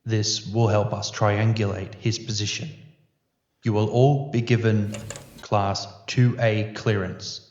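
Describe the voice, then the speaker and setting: Flat, slow investigator played by a man, echoey. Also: lowish voice, a little hiss or hum. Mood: stern, instructing. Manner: measured, formal, clinical delivery. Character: grave, methodical male police investigator briefing officers. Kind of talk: sci-fi audio drama briefing. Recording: echoey room, dull muffled sound.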